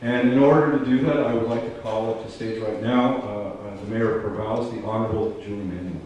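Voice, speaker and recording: Halting man speaking in an echoey theater. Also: on the low side, fairly even intonation, muffled recording. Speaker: event host. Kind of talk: ceremony welcome speech. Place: theater stage. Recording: distant, very echoey theater, faint noise.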